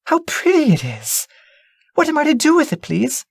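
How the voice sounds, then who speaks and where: Man voicing a high-pitched curious little princess. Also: wildly swooping pitch, moderate pace, vocal fry, dry recording, studio quality, heavily acted. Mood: wondering. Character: little princess girl, curious, wide-eyed and anxious.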